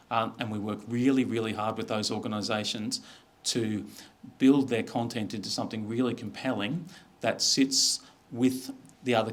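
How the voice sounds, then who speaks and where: A middle-aged Australian man giving an informal business presentation in a conversational, explanatory way. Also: mid-range voice. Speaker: media executive presenting.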